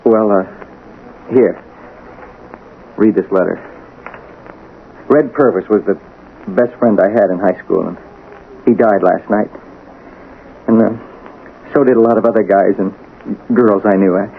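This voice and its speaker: Hesitant, earnest husband on tinny radio. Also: male voice, lowish voice, creaky. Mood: nervous, earnest. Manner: hesitant, halting, stammering. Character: earnest, fumbling working husband, stubborn but conscience-driven. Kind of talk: 1980s radio drama acting. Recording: tinny, noisy old radio broadcast.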